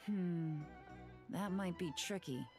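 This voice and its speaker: A woman's voice: fairly low pitch, takes it slowly. A woman playing a shrewd, self-assured captain working undercover in a video game, speaking in a cool, probing, businesslike way. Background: music.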